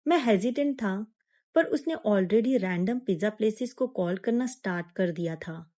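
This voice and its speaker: A woman narrating a scripted first-person suspense story in a measured, dramatic manner with pauses. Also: medium pitch, animated, warm tone.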